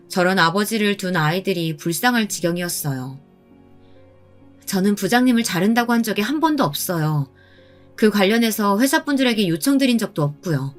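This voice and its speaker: A woman narrates a first-person story in a scripted, radio-style reading. She speaks at a mid pitch, calmly and point by point, with an edge of indignation, and soft music plays underneath. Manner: calm and pointed, recounting with indignation. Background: soft background music.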